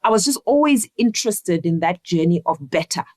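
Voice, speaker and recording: Low-voiced woman, reflective and earnest. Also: animated, a little fast, darkish tone, dry recording. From Zimbabwe. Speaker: interview guest, leadership coach. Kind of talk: reflective conversational interview.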